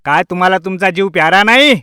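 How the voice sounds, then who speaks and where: An actor voicing a menacing, bullying wolf in an animated story, with a taunting, drawn-out delivery. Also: medium pitch, a little hiss or hum. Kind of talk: animated story character voice acting.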